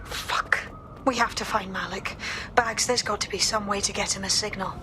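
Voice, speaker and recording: An actress playing a brisk, blunt female hacker leader, in a video game. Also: medium pitch, steady tone, noisy background, close to an everyday voice. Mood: determined.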